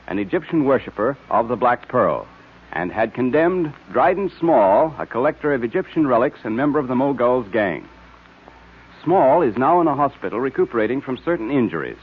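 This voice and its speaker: A man's voice: mid-range voice, breathy edge. Tinny old-time radio announcer, male. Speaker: announcer and narrator. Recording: tinny vintage radio recording.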